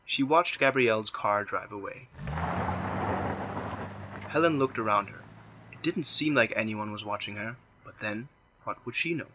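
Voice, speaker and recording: A man's voice: on the low side, animated, unhurried, pauses often, background noise. A man reading scripted narration for a radio drama.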